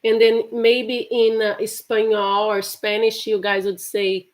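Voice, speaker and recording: A Portuguese-accented woman leads a casual live English class, chatting with viewers. She talks at a slow, easy pace, laughs a lot, and breaks off to say words slowly and carefully. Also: medium pitch, flat delivery. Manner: chatty, laughs often, repeats words slowly to show pronunciation. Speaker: English teacher. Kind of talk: live-streamed language lesson.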